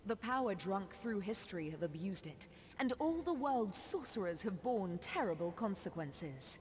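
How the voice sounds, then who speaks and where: A proud, sorrowful forest sorceress, acted by a woman speaks in a long, ringing reverb. Her delivery is measured and formal, at a middling pitch, like a dramatic confession in a fantasy video game. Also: big pitch swings, darkish tone, natural voice. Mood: proud, solemn. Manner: measured, solemn, formal storytelling.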